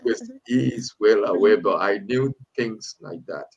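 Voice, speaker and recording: A man from Africa answering as a guest on a church panel. His voice is lowish with a gravelly rasp. He speaks haltingly, with frequent fillers and restarts. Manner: hesitant, many pauses and fillers. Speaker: panel guest, lay preacher.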